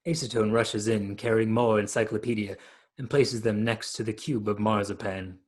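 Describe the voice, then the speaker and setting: A man's voice: lowish voice, steady tone, moderate pace, a little mellow. A man in his thirties narrating the scripted stage directions of a radio play over a video call.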